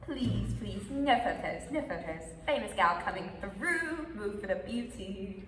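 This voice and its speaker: A woman's voice: mid-range voice, lively intonation, measured pace, a bit bright. A woman voicing a vain, haughty schoolgirl diva with pompous theatrical delivery in a puppet comedy. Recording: echoey hall, distant mic, faint noise.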